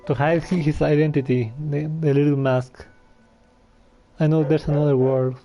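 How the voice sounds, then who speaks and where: A man's voice: on the high side, fairly even intonation, normal speed, mellow. A man giving casual gameplay commentary as a streamer, chatty and often hesitant as he searches for words. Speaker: game streamer. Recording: dull lo-fi voice, game music behind.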